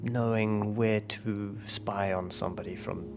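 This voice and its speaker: A man's voice: lowish voice, steady tone, a little slow, creaky. A man playing a tabletop roleplaying game, casually describing what his character does and talking over the rules with the table. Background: background music.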